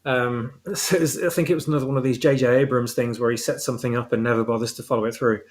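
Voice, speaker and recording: A man with a mid-pitched voice talks as a guest author in a casual discussion. He explains his ideas thoughtfully, often rephrasing to find the exact word. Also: steady tone, fast.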